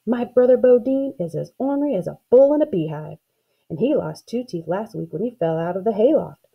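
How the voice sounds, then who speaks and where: A woman's voice: medium pitch, animated, brisk, vocal fry, slightly rough, muffled recording. A woman voicing a feisty, indignant young country girl in a storybook, exclaiming and accusing in folksy talk. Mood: vowing revenge.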